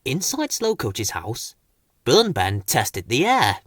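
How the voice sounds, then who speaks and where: A man narrating a children's story as an expressive audiobook storyteller. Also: mid-range voice, takes it slowly, husky, no echo, clean studio sound.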